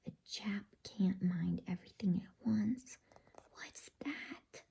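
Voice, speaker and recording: A woman voicing kindly, plain-spoken storybook creatures of a rescue party in a breathy whisper. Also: medium pitch, slow, warm tone, exaggerated voice. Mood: flustered.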